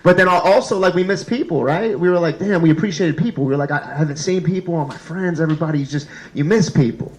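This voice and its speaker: Higher-voiced man doing casual stand-up. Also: rough recording. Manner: colloquial, asks the listeners rapid check-in questions. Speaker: stand-up comedian. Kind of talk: conversational stand-up comedy.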